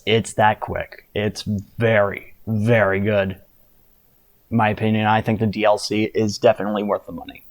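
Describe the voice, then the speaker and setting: A man giving casual, halting commentary on his own game playthrough. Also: lowish voice.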